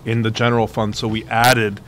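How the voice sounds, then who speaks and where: A man giving figures and context on a school budget at a board meeting, measured and filled with ums. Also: fairly low pitch, completely flat delivery, a bit bright, a little hiss or hum. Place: public meeting room.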